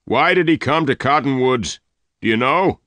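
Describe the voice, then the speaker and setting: A man's voice: medium pitch, animated, fairly bright tone, no room sound, pitched above the performer's own voice, natural voice. A man voicing a fat, gray-haired older male leader who fires off demanding questions in a raised voice. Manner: demanding, hectoring rapid questions.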